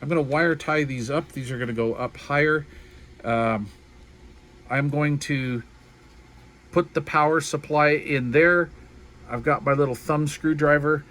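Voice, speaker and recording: A man's voice: on the high side, a little slow, long pauses, fairly bright tone. A man giving a casual, unscripted hobbyist show-and-tell in a folksy, colloquial, self-deprecating way. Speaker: hobbyist showing a DIY radio build.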